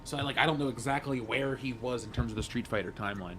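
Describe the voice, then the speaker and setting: A man's voice: medium pitch, quick pace, noisy background. A man speaking casually as a panelist in an informal discussion. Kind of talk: casual chat. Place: convention panel room.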